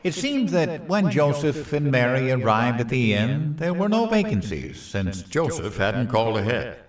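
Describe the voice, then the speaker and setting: An actor playing a clueless, self-assured president in a comedic audio drama, hesitant and earnestly rambling. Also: male voice, medium pitch, big pitch swings, normal speed, distant mic, close to an everyday voice. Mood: pompous speechmaking. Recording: roomy echo, off the mic.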